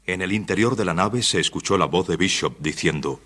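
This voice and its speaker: A man's voice: deep voice, a little fast, fairly bright tone, dry recording. A man narrating a suspense radio drama as a grave, solemn storyteller. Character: grave, solemn male storyteller of a science fiction tale. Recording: music behind the voice.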